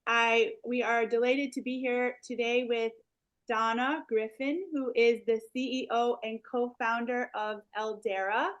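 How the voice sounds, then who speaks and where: A woman's voice: on the high side, steady tone, a little slow, highs cut off. A woman hosting a career speaker series, politely reading out her interview questions.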